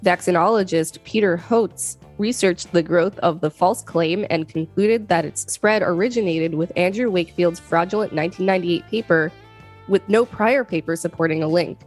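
A woman's voice: fairly low pitch, normal speed, soft background music. A woman co-hosting a podcast, reading an encyclopedia article aloud and commenting on it. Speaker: podcast co-host.

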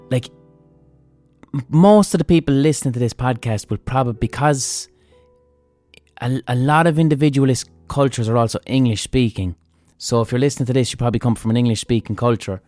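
Irish male podcast host, mellow, dry close sound, blunt and profane, casual monologue. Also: medium pitch, moderate pace, long pauses, no room sound, faint music behind. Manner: blunt and profane, thinking aloud.

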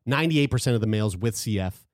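A man's voice: fairly low pitch, animated, quick pace, dry recording, studio quality. A man of thirty-five hosting a podcast, talking casually with lots of restarts and casual swearing. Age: 30s. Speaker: podcast host. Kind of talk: casual chat.

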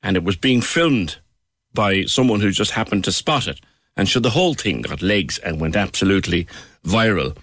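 Chatty radio host, dry studio. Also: male voice, deep voice, lively intonation, normal speed, a bit bright, no echo. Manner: chatty, laughs easily, repeats words. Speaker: radio talk show host. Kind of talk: casual radio interview. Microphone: studio microphone.

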